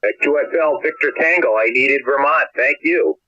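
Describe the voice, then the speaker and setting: A man's voice: medium pitch, moderate pace, bright, forceful tone, no echo, dull audio. An American man working a radio contest over shortwave, giving call signs and reports in phonetics. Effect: ham radio transmission. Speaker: amateur radio contest operator. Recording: dry band-limited shortwave radio signal.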